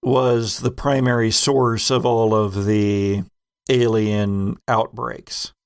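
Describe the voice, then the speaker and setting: A man's voice: lowish voice, little pitch movement, takes it slowly, creaky, clear, resonant tone, no room sound, clean studio sound. A man who hosts as game master, talking casually in a podcast retrospective.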